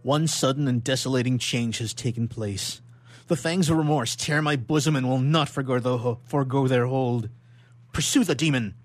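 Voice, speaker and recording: A man's voice: mid-range voice, moderate pace, no room sound, natural voice. A man playing a haunted, guilt-ridden young scientist in an old melodramatic radio play, with declamatory archaic diction. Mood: remorseful.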